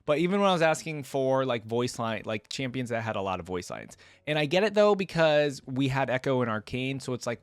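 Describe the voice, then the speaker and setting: A man's voice: mid-range voice, no room sound. A man giving casual, rambling, enthusiastic commentary to his viewers as a reaction video creator. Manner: informal, rambling, enthusiastic about the game.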